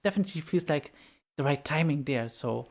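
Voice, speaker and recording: A woman's voice: low-pitched, expressive, fast talker, darkish tone. A woman interviewing a guest for a convention, friendly and enthusiastic, often laughing. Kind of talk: casual interview chat.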